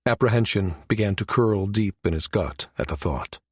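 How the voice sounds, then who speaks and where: A man's voice: on the low side, animated, moderate pace, slightly rough, no echo, clean studio sound. A man reading scripted science fiction narration for an audiobook.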